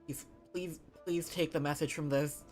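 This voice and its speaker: A woman's voice: deep voice, fairly even intonation, normal speed, a little mellow. A woman casually commentating on a game she is streaming, thinking aloud in short, reactive bursts. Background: video game music.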